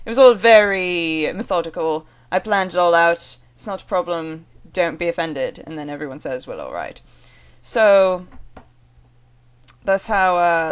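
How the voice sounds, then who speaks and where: A woman from the American Northwest speaking in a put-on British accent, chatting casually as a vlogger in a rambling, halting, amused way. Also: fairly low pitch. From American Northwest (Oregon). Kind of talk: casual chat to camera with read-out one-liners.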